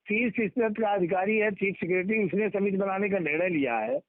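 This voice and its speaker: Man, middle-aged, high-pitched voice, teachers' union leader, repetitive emphatic phrasing, dull narrow phone recording. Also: fairly quick, no echo. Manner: repeats words and phrases, agreeing emphatically. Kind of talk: informal phone conversation. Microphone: telephone. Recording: muffled recorded phone call.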